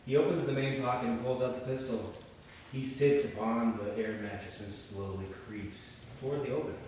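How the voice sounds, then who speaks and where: A man takes part in a table read, joking casually or reading scripted narration. He has an average pitch and is recorded off-mic, with heavy room echo and faint noise. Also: steady tone, moderate pace, darkish tone, recorded from a distance. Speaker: participant and reader at a table read. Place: indoor room, mic at a distance.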